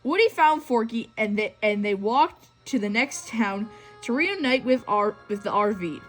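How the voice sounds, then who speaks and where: A woman's voice: a little high, lively intonation, a little slow. A woman reading a children's story aloud, now and then tripping over a phrase and reading it again. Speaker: storybook reader. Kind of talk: scripted read-aloud narration of a children's story. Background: music.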